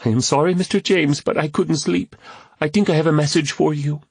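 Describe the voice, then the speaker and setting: A man's voice: fairly high pitch, fairly even intonation, a little fast, vocal fry, darkish tone, breathy edge, no room sound, voice pushed up. A man voicing a small, earnest and fearless boy who speaks with careful, formal politeness, recorded dry and close. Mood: pleading.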